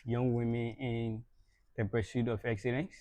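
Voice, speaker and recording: A young man hosts a podcast in a relaxed, conversational way, often laughing and repeating words as he gets going. His voice is mellow and dark. The recording is dry and close. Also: medium pitch, normal speed, no echo. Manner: warm, laughs often, repeats words when starting a sentence. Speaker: podcast host. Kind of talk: casual podcast interview.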